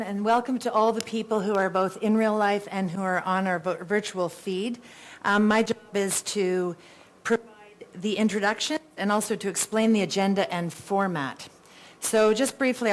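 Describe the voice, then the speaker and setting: A woman's voice: medium pitch, rough recording. A Canadian woman moderating a live candidates' debate, chatty, with playful self-deprecating asides and a big voice. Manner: says she has a really big voice.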